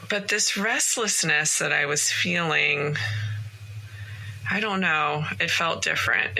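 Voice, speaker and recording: Calm, gentle woman podcaster. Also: sits low, creaky, low-quality recording. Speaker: podcast host and life coach. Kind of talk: casual solo podcast monologue. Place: home closet.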